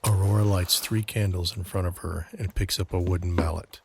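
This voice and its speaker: A man with a low voice narrates a scripted drama. His delivery is measured and suspenseful, with clear ambient noise running behind him. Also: fairly even intonation, darkish tone.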